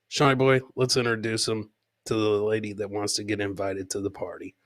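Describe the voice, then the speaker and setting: A man's voice: on the low side, level tone, a little fast, no room sound, studio quality. A man hosting a comedy podcast, commenting casually on clips in a loose way and often repeating his phrases.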